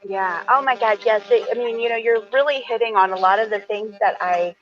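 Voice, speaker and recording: A woman's voice: a little high, brisk, highs cut off. A woman in her forties, an attorney, talking earnestly and a little rambling on a video call, with lots of fillers. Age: 40s. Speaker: attorney and event moderator. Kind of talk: virtual author event conversation.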